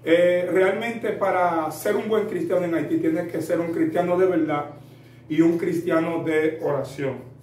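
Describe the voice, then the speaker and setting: A Dominican man in his thirties with a somewhat high voice, answering as a preacher in an earnest, rambling way full of fillers. He sounds set back from the mic in a roomy space with a faint hiss behind him. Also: steady tone, measured pace, a little mellow. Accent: Dominican Spanish. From Dominican Republic. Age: 30s. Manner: earnest, addresses listener as usted, frequent fillers. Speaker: preacher guest. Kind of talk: storytelling interview answers. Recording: roomy echo, distant mic, faint noise.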